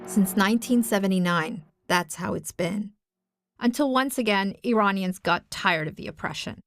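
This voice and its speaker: Woman reporter from afghanistan, deliberate podcast narration, steady mid voice. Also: mid-range voice, noisy background. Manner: measured, deliberate delivery with pointed emphasis. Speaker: investigative reporter and host. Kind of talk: scripted documentary narration.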